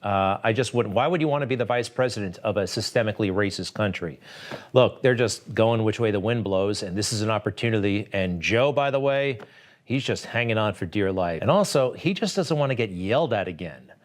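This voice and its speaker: A man's voice: on the low side, lively intonation, a little fast. A man delivering sardonic political opinion commentary, full of rhetorical questions.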